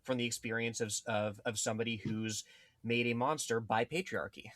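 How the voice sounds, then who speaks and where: Halting, analytical man, dry close sound. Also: medium pitch, fairly even intonation, fairly bright tone, no echo. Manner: halting, formal wording with repeated words. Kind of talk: analytical political discussion.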